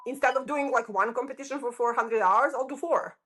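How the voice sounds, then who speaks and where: A woman's voice: a little high, brisk, bright, forceful tone, breathy edge. A middle-aged woman from Serbia with a Serbian accent, telling her story as an interview guest in an informal, chatty way peppered with "like". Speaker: architect, podcast guest.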